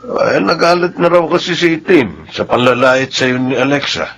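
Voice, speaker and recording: A sympathetic male friend in a Filipino radio drama. His husky voice moves expressively as he consoles and confides. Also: mid-range voice, animated, normal speed, fairly bright tone. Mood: consoling, confiding.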